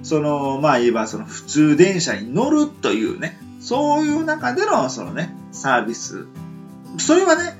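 Rambling Kansai man, lively pitch. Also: normal speed, muffled recording, faint music behind. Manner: rambling, many hesitant fillers. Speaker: podcast co-host. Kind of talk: casual radio chat.

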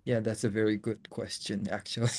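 Young man, co-host, native Japanese speaker, thoughtful and soft, low mellow voice. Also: breathy edge. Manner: soft, thoughtful, switches between English and Japanese. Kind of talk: casual chat.

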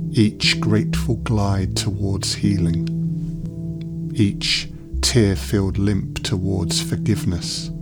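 A man gives a poetry reading in a fairly low voice. He moves very slowly and deliberately, leaving space between short phrases, while plucked guitar plays prominently behind him. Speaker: poetry reader. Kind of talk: slow, measured poetry reading. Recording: voice over loud guitar music. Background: plucked guitar music.

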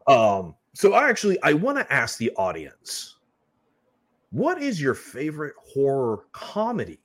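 A man's voice: medium pitch, wildly swooping pitch, unhurried, fairly bright tone, husky. A man co-hosting a casual live chat show, earnestly explaining his points and talking to the audience. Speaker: co-host of horror review show.

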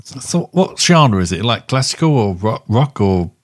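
Man with very animated pitch. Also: measured pace. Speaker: podcast host. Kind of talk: casual podcast chat.

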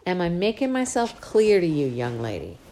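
Woman playing a middle-aged dad, low voice, stern but loving, folksy slang, storybook reading. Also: huge pitch swings, moderate pace, warm tone, slight background noise. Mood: firm. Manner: scolding then tender, folksy slang. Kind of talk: audiobook character voice.

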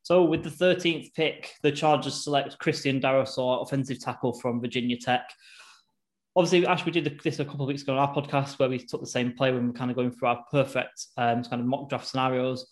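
A man's voice: medium pitch, fairly even intonation, brisk, dry recording. A man from a team podcast, eagerly breaking down a draft pick in long, rambling runs. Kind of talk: enthusiastic sports analysis.

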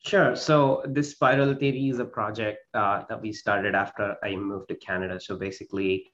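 A man's voice: fairly low pitch, fairly even intonation, moderate pace, a bit bright. Man on dull, lo-fi audio. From southern India. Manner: hesitant, full of "you know" fillers. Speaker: guest, rock band singer. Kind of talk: casual interview.

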